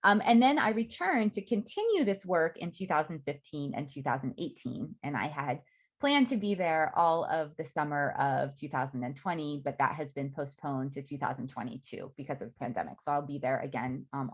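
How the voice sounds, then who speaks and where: Woman, middle-aged, fairly low voice, measured academic lecture pace, frequent ums. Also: lively intonation, slightly rough. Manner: measured, reading prepared remarks with frequent ums. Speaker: academic speaker. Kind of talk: academic faculty talk.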